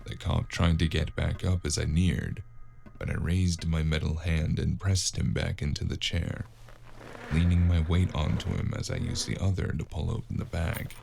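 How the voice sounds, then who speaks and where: A man playing a wry, gravelly-voiced investigator who narrates a noir fantasy audio drama in the first person. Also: very deep voice, little pitch movement, normal speed, darkish tone, slight background noise, full character voice. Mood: brooding. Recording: music behind the voice.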